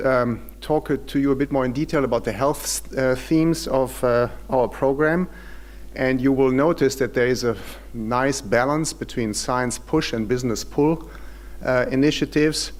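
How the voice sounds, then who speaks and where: A man with a German accent presenting at an industry forum in a hotel conference room, speaking formally with frequent hesitant fillers. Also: mid-range voice.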